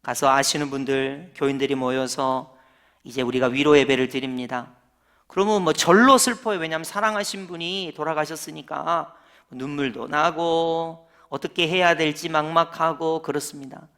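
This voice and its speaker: Bright-voiced conversational male pastor. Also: fairly high pitch, normal speed. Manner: conversational preaching, asks the congregation questions. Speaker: senior pastor. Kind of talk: sermon.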